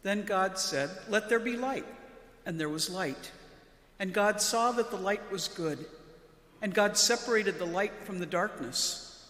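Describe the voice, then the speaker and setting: A woman, a pastor, reading scripture from a church lectern in a solemn, measured way. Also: medium pitch, fairly bright tone. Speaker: Lutheran pastor. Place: large church interior, at the lectern. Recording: echoey church with long reverb.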